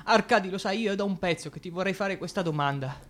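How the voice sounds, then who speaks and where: An actor playing an anguished, exhausted young dreamer in a radio drama, pleading, rambling and repeating himself in self-reproach. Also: male voice, high voice, fast talker, fairly bright tone. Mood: weary, tearful affection. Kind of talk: theatrical radio drama acting.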